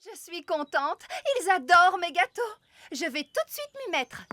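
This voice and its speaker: An actress playing a brisk, bossy fairy nanny in a cartoon. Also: female voice, very high voice, expressive, sharp tone, dry recording, full character voice. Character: bossy cheerful old fairy nanny with a magic bag. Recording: cartoon soundtrack with music behind.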